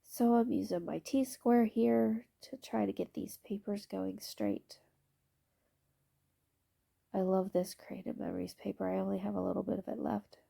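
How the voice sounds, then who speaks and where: A woman with a stuffy, congested voice narrating her scrapbooking process video, explaining her supplies in a relaxed way with occasional ums. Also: mid-range voice, unhurried, vocal fry. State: stuffy, congested from allergy shots.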